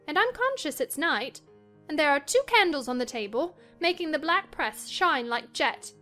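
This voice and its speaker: A woman acts out a feverish young gentlewoman in a dramatized classic novel. Her voice is very high and theatrical, drifting from dreamy murmuring to anxious, fearful outbursts, with music underneath. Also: lively intonation, moderate pace. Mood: dreamy, wandering.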